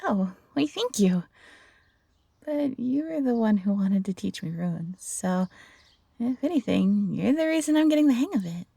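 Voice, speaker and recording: A woman playing a gentle, eager fox-hybrid former servant in an intimate roleplay audio drama, soft-spoken and earnest with a breathy, slightly husky voice. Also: mid-range voice, expressive, unhurried, dry recording, exaggerated voice. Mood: playful, excited.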